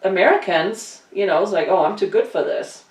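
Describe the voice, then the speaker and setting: A woman's voice: mid-range voice, fairly quick. Echoey, emphatic woman from Germany chatting. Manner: emphatic, earnest, repeats phrases for emphasis. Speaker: talk show host. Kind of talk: casual conversational opinion chat. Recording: roomy echo, distant from mic.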